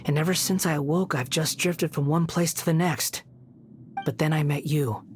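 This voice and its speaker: A woman's voice: low-pitched, a little hiss or hum, lightly acted. A woman voicing a brooding young male puppet wanderer in a video game, subdued and earnest. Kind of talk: video game cutscene dialogue.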